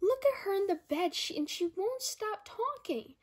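A woman's voice: extremely high pitch, animated, normal speed, a little hiss or hum. A young girl reading a children's picture book aloud, careful and word by word, with little asides to listeners. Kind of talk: read-aloud storybook narration with asides. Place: indoor room at home.

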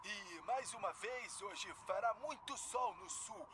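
A man's voice: high-pitched, takes it slowly. An actor playing a male television weather forecaster in a cartoon, with a broadcast delivery. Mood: matter-of-fact. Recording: very noisy, clicky cartoon soundtrack.

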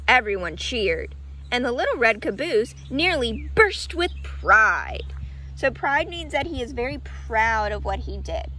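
Lady doing a kids read aloud outside, slow n sing-songy lively, bit of noise behind her. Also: on the high side, rough recording. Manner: teacherly, slow and clearly paced, asking questions. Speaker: read-aloud storyteller for children. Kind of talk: expressive children's picture-book read-aloud. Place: outdoors on grass. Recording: outdoor ambient noise behind voice.

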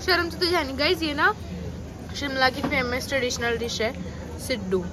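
A woman's voice: sits high, lively intonation, moderate pace. A young woman vlogging casually to her viewers on a busy outdoor street, chatty and teasing, filmed on a phone held at arm's length. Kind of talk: casual travel vlog. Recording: crowd and street noise.

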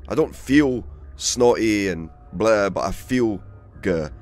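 A man with a cold, hoarse and gravelly, casually commentating a game he plays and swearing freely. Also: on the low side, animated, slow pace, darkish tone. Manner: swears freely, rambling and chatty. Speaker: let's play host.

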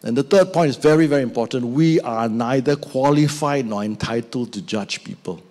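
A man's voice: mid-range voice, moderate pace. An older man with a Malaysian English accent preaching a sermon on a church stage into a handheld mic, acting out little scenes as he goes. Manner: animated, acts out little scenes with put-on voices. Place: church stage with band instruments.

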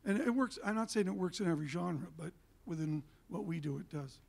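A man's voice: high-pitched, animated, a little fast, gravelly, a little mellow, a little hiss or hum. An older man speaking emphatically on a conference stage, gesturing as he holds a handheld mic. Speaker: panelist, TV and media figure. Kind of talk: conference panel talk.

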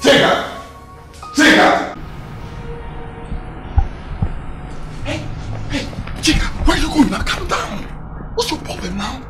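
A man's voice: very high voice, big pitch swings, moderate pace. A man with a Nigerian accent playing an insistent, domineering cheating husband in a melodrama, repeating his questions and phrases. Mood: agitated. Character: cheating husband, pleading lover and bullying domineering household boss. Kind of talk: melodramatic screen drama acting. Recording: roomy echo with music behind.

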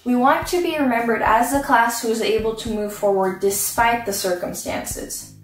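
A woman's voice: on the high side, moderate pace. A young woman giving a playful, upbeat graduation speech to her classmates from home. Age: young adult. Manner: playful, upbeat, with comic asides. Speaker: graduating student speaker. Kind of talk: prepared commencement speech. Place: bedroom at home, indoors. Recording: roomy echo, mic at a distance.

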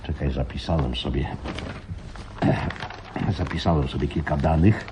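An actor playing a flustered, grave veteran police commissioner in a radio play, husky and halting, repeating himself. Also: male voice, rumbling low, noisy background. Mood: grave, intent. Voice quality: husky, breathy. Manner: halting, muttering, repeats himself, dramatic emphasis. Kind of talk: Polish radio play acting. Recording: slightly dull radio play recording.

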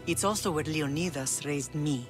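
A woman's voice: low-pitched, moderate pace, natural voice. An actress playing a proud, dignified middle-aged Spartan mother in a video game, speaking firmly. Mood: reflective. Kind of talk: dramatic video game acting. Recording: music behind dialogue.

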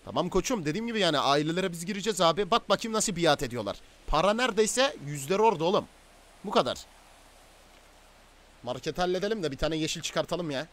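A man in casual game roleplay chat, bossy and excitable, talking in slang like the boss of a crew. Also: fairly high pitch, quick pace. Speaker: gaming video host and gang boss in roleplay.

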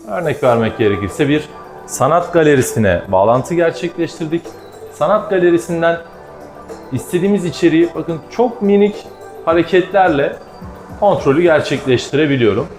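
A man's voice: a little high, darkish tone. A man presenting a live product demonstration in formal, explanatory wording.

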